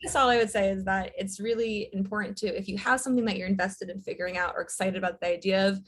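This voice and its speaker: A woman, a writer and director, talking as an interview guest in a relaxed, thoughtful way with many fillers. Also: mid-range voice, fast talker. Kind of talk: casual interview chat.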